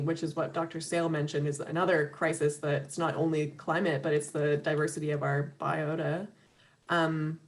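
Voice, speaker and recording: A woman giving an earnest, conversational webinar talk over a video call, full of fillers and restarts, her voice a little worn. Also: deep voice. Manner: earnest, many fillers and restarts, laughs lightly between points. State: slightly losing her voice. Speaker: webinar speaker for an advocacy organization.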